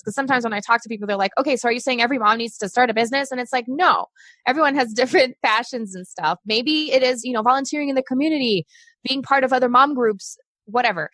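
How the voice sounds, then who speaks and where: A woman's voice: on the high side, pushed and bright, no room sound. Brazil-born woman, chatty earnest coach on a podcast, really dry mic. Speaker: podcast guest, success coach for moms. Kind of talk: casual interview chat.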